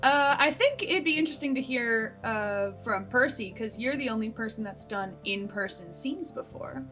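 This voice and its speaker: A woman hosting a casual group Q&A, an animated, giggly storyteller who often quotes herself. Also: a little high, moderate pace, faint music behind. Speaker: host and writer of a fiction show.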